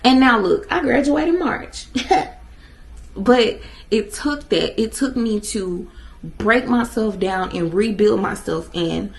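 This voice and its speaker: A woman in her twenties talking to viewers in a casual motivational vlog, emphatic and animated, addressing them directly. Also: fairly high pitch, gravelly. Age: 20s. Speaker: vlogger.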